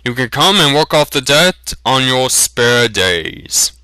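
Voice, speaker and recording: A man voicing a gruff, good-humoured, plain-spoken blacksmith in a fantasy audiobook. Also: medium pitch, sing-song, slow, sharp tone, no room sound, voice pushed up, heavily acted. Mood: generous. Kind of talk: dramatic fantasy audiobook reading.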